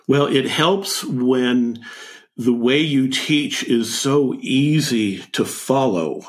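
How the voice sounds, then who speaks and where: Man, interview host, slow pace, mid-range pitch, halting delivery, conversational. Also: expressive.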